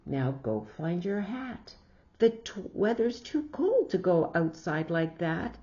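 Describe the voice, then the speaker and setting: Storybook mother, performed by a female reader: exasperated, emphatic, scolding, with a mellow tone. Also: fairly low pitch, sing-song, slow pace, muffled recording. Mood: firm. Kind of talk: picture-book read-aloud.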